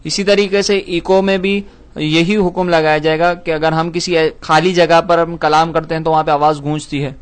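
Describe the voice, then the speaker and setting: A man delivers an Islamic question-and-answer lecture in a fairly high, very clean and resonant voice. His wording is formal and scholarly, and he lays out his explanation methodically, point after point. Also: flat delivery, normal speed, smooth.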